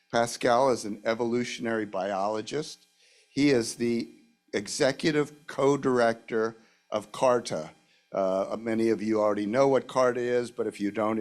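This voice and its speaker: A man introducing a guest speaker to an audience, courteously reading out his background. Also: lowish voice. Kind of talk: formal introduction.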